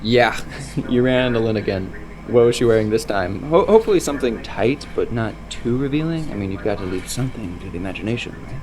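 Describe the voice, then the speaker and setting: An actor playing a geeky, easily distracted sidekick in a naturalistic audio drama, speaking casually with a wry, rambling manner. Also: male voice, medium pitch, lively intonation, fast, noisy background, natural voice. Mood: casual, amused.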